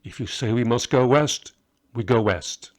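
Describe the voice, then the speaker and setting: A loyal, steady magus from a storybook, voiced by a man reading aloud. He speaks directly and reassuringly, as an inquisitive and trusting friend. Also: mid-range voice, a little slow, close to an everyday voice. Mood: resolute.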